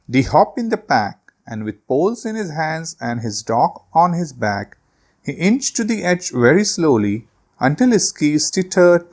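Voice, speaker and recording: A man hosting a children's read-aloud, telling a storybook in an animated, theatrical way. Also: mid-range voice.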